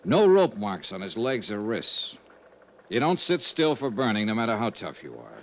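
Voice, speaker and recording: Wry insurance detective: lowish voice, swinging intonation, clipped and probing, fifties radio serial. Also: male voice, huge pitch swings, normal speed, slight background noise. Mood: brooding, analytical. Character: sardonic, probing male insurance investigator hero. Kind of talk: 1950s radio detective acting and narration. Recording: muffled old radio recording.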